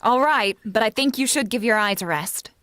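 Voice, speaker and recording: A woman's voice: fairly high pitch, moderate pace, fairly bright tone, no room sound, clean studio sound, close to an everyday voice. A woman playing a concerned, helpful housemaid in 1940s radio comedy style, solicitous and questioning.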